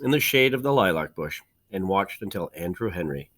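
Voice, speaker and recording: A man reading a children's storybook aloud like a teacher, with deliberate phrasing, dramatic pauses and emphasis. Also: low-pitched, expressive, a bit bright, slight background noise. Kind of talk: expressive children's storybook narration.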